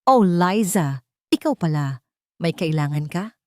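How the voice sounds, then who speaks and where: A woman's voice: on the low side, animated, unhurried, darkish tone, no echo. A woman voicing a composed, businesslike male employer in an audio story, brief and politely commanding.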